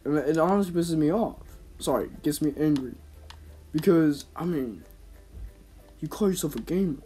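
A man's voice: a little high, normal speed, dark tone, soft background music. A man giving casual, rambling gameplay commentary in slangy, informal speech, as a gaming YouTuber. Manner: slangy, informal, talking to himself and viewers.

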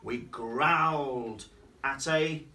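A man doing slow, prim magical babies. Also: mid-range voice, recorded from a distance, very noisy. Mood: boastful. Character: bossy magical flying babies.

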